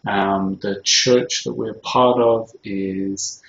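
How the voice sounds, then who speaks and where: A man from Scotland with a British accent, talking casually and haltingly as the host of a video show, recorded in his kitchen. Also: fairly low pitch, fairly even intonation, creaky. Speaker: host interviewing himself. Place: home kitchen. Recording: roomy, echoey, dull sound with faint noise.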